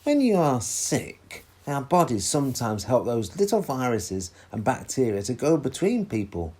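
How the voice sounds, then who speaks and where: A man playing a patient, reassuring father who explains things in a children's storybook, with a husky voice. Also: medium pitch, big pitch swings, normal speed, darkish tone. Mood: patient, explaining. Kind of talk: read-aloud children's storybook dialogue.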